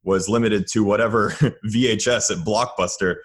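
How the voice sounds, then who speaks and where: A man's voice: fairly low pitch, steady tone, normal speed, slightly rough. An American man from Florida in a casual podcast chat, laid-back and chuckling as he talks. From Florida, United States. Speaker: podcast guest or host.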